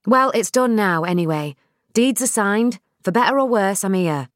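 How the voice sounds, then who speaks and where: A woman's voice: mid-range voice, animated, moderate pace, no room sound, clean studio sound, pitched above the performer's own voice, natural voice. A woman doing an eager, insistent young woman. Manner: eager, insistent, a little impatient. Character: determined young woman of twenty-eight.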